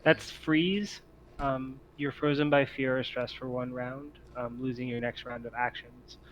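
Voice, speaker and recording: A man acting as game master, improvising narration and talking through rules in a hesitant, deliberate way. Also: medium pitch, steady tone, highs cut off. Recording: clear background noise.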